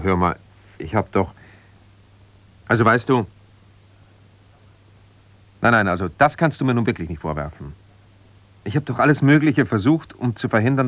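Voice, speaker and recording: Actor as a defensive, low-voiced embittered husband. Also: male voice, no room sound, lightly acted. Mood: defensive, reproachful. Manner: defensive, reproachful, exasperated, insistent. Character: ambitious, embittered husband, a self-righteous lawyer. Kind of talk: naturalistic German radio play, one side of a phone call.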